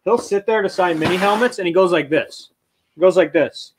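A man's voice: high-pitched, brisk, background noise. A man in his thirties hosting a casual live auction stream from a small storage room, chatting and reading viewer comments aloud as he moves around, sometimes stepping away from the webcam mic. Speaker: auction livestream host. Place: small storage room full of shelves, indoors. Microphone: webcam mic at desk, sometimes farther away.

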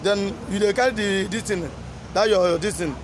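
A high-pitched man with a Nigerian accent conducts an informal interview, echoing answers back as questions. It is a phone recording made outdoors, with clear street and vehicle noise behind him. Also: normal speed. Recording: noisy outdoor phone video.

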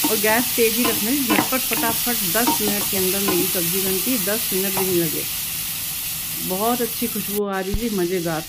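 A woman hosting a cooking video in her home kitchen, talking homely and instructive and repeating words for emphasis. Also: medium pitch. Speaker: cooking channel host. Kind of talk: chatty cooking narration. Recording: loud sizzling pan noise. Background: frying and stirring sizzle.

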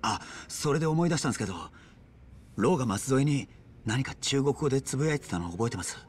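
A man's voice: medium pitch, animated, fast, breathy edge. A man playing an earnest, deferential young gangster underling in a video game drama.

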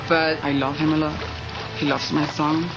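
A woman's voice: sits low, level tone, normal speed. Woman, interviewee, personal account, music behind, lo-fi muffled recording. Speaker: interviewee talking about her partner. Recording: muffled old TV tape.

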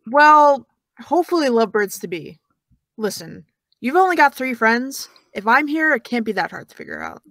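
A woman's voice: fairly high pitch, very animated, moderate pace, fairly bright tone, dry recording. A young actress playing a sarcastic young woman who teases and needles in an audio drama. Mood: smug. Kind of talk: audio drama dialogue of a video call.

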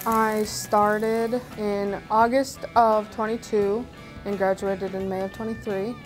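A woman's voice: mid-range voice, level tone, takes it slowly. A young adult woman, a recent welding graduate, speaking sincerely and enthusiastically about her job. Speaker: graduate, welder. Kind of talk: promotional interview. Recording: music bed underneath.

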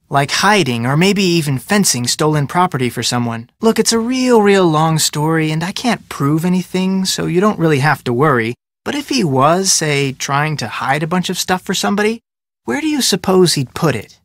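An actor playing an upbeat, polite but persistent young detective in a video game, with an American accent and a casual, earnest delivery. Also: male voice, on the high side, fairly bright tone, no echo, clean studio sound. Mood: curious, casual. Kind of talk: scripted video game dialogue.

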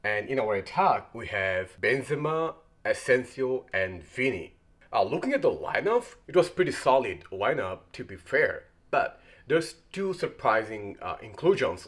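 A man in his thirties hosting a football fan channel, talking straight to the camera from a room at home, earnest and gesturing as he talks. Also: medium pitch. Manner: earnest, gestures while talking, frequent fillers and restarts. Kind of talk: post-match reaction vlog. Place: indoor room by a window with blinds.

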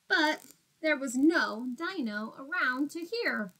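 A young woman teacher with a high voice and a slow pace. She reads aloud to little children in simple phrases, as if explaining to a class. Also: lively intonation, bright, forceful tone, low-quality recording. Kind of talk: storybook read-aloud and talk to small children. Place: small plain room indoors.

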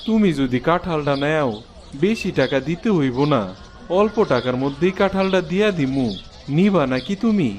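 An actor playing a poor, weary, pleading old village husband in a cartoon, in a rural Bangladeshi Bengali dialect. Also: male voice, a little high, animated, normal speed, slight background noise, lightly acted. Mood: pleading, hurt. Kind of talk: cartoon voice acting.

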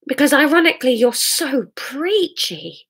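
Woman reader doing a fed-up woman having a row, sarcastic, heated, voice pitched up, audiobook style. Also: big pitch swings, a little slow, heavily acted. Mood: indignant, hurt. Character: exasperated woman arguing with her partner Hamish.

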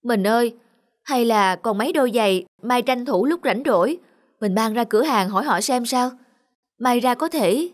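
A woman voicing a caring, worried mother, a poor cobbler's wife, in a cartoon drama, sounding soft-hearted and concerned. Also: a little high, lively intonation, normal speed, natural voice.

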